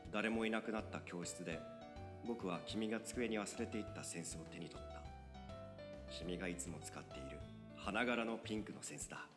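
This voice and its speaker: A man's voice: fairly low pitch, a little fast, close to an everyday voice. A man voicing a shy, nervous teenage schoolboy who narrates his own story and stammers when flustered, in an audio drama. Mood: wistful. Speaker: voice actor. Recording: long reverb with music underneath.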